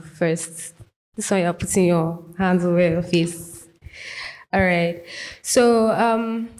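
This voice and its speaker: A woman leading a panel discussion, speaking slowly and unhurriedly in a somewhat low voice. She asks her questions in a measured way, dropping in "you know" as she goes. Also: a little mellow, rough recording. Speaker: panel moderator.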